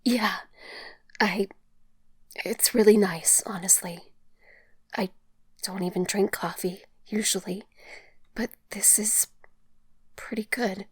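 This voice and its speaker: A woman's voice: medium pitch, steady tone, slow, pauses often, husky, dry recording, clean studio sound. A woman playing an emotionally battered, shy young woman in an audio drama, speaking hesitantly and breathily. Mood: timid, polite. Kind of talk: naturalistic audio drama acting.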